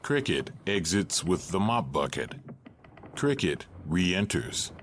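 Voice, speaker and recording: A man's voice: deep voice, big pitch swings, slow, slight background noise. A man reading stage directions as scripted narration for an audio drama.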